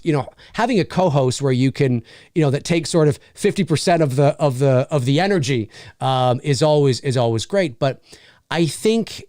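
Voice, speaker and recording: A man in his forties hosting a solo live-stream Q&A, energetic and rambling with many fillers and restarts. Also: mid-range voice, no echo, studio quality. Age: 40s. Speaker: host.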